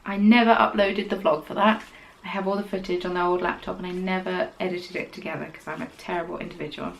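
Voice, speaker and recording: A young woman hosting a podcast, chatting casually about her knitting in a rambling way and dropping into quiet, whispered asides. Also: on the low side, steady tone, fast, creaky, poor audio. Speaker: podcast host.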